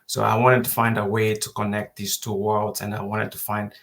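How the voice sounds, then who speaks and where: A man in his thirties speaks in a low, gravelly voice. His tone stays flat and even as he lectures. He pauses often and repeats himself while working out his thoughts over a video call. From Kenya. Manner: unhurried, thinks aloud with pauses and repeats. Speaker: guest presenter. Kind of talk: webinar lecture.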